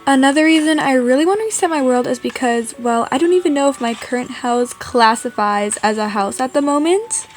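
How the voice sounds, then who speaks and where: Female gaming video host from Canada, high-pitched, informal and chatty, casual narration over faint music. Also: lively intonation, a little fast, hardly any pauses.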